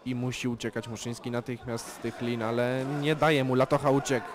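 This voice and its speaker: A man calls a live amateur boxing match in a calm, analytical way, naming each punch. His voice stays flat and even, with little rise or fall. A loud crowd fills the venue behind him. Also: medium pitch. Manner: calm, measured play-by-play with technical analysis. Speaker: boxing commentator. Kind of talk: live sports commentary.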